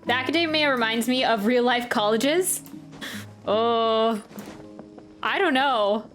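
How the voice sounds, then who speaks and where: A woman's voice: fairly high pitch, slow, a bit bright. A woman giving casual live game commentary, musing and thinking aloud. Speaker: game reaction streamer. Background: game music.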